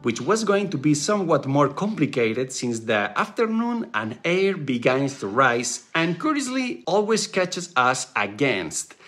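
A man in a native Spanish speaker's voice-over narration, wistful and gently joking as he tells the story. His pitch moves in a lively way, rising and falling. Soft guitar music plays quietly behind him. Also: a little slow, few pauses, slightly rough. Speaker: vlog narrator.

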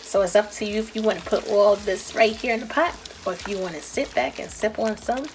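A woman's voice: mid-range voice, brisk, slight background noise. A woman narrating a recipe casually as a cooking host, chatty and playful, talking straight to viewers.

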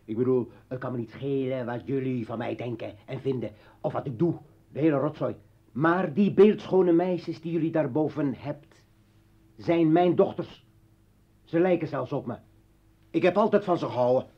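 A man's voice: mid-range voice, normal speed, close to an everyday voice. An actor playing a bitter, armed revolutionary poet and father in a radio play, taunting and commanding. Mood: firm, insistent. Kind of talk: Dutch radio play acting. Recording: dull old radio recording.

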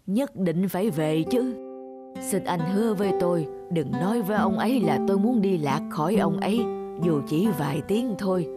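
An actress playing a gentle, devoted elderly wife in a cartoon, speaking earnestly and tenderly. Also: female voice, medium pitch, close to an everyday voice. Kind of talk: cartoon dub acting.

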